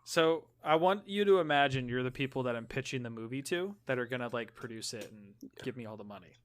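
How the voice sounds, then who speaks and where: A man's voice: mid-range voice, a little fast. A man co-hosting a podcast, telling stories in an informal, sweary way. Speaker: podcast co-host. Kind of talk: casual chat, storytelling.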